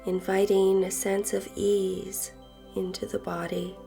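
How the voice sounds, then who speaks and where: Woman meditation host, very slow and gentle, soft breathy voice, long pauses, faint music behind. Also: medium pitch, flat delivery. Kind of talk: guided meditation, slow and soothing narration. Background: soft background music.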